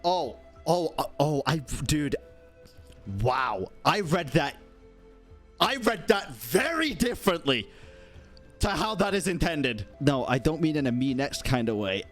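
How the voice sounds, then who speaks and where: A man's voice: a little high, expressive, a little slow, soft background music. Sweary male game streamer reacting. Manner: sweary, emphatic. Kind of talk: live reaction commentary.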